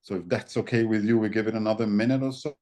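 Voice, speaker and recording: A man with a very low, deep voice, a native speaker of Arabic and Italian, presents in an online session. He talks in a relaxed, hesitant way with plenty of ums and "you know". The sound comes over a video call mic. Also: quick pace.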